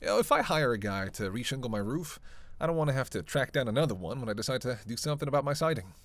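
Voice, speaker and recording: A man's voice: medium pitch, very animated, fast talker, no room sound. A man playing a flirty, sardonic vampire in a roleplay audio drama, with casual, teasing, profanity-laced banter. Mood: wry. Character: flirty, sardonic male vampire on a road trip.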